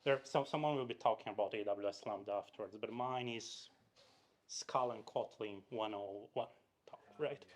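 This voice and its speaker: A man's voice: lowish voice, normal speed. Chatty man from Brazil giving a talk. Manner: chatty, with frequent fillers and restarts, and asks the audience questions. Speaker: conference speaker. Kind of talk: casual technical talk to a live audience. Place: meetup room in front of an audience.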